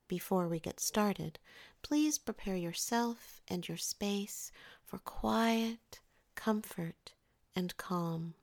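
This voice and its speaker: A woman narrating a scripted guided meditation in a soothing, measured way, softening the ends of her phrases. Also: medium pitch, vocal fry.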